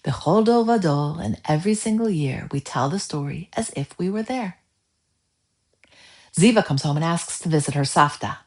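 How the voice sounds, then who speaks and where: A woman reading a children's story aloud in an expressive narrating style. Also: deep voice, moderate pace. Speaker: storybook reader.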